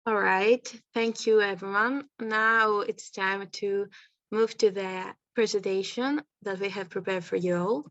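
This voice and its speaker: A woman from Greece presenting on a video call in a halting, hesitant way, with muffled sound. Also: medium pitch, fairly even intonation, a bit bright, no echo. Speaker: youth minister leading a presentation.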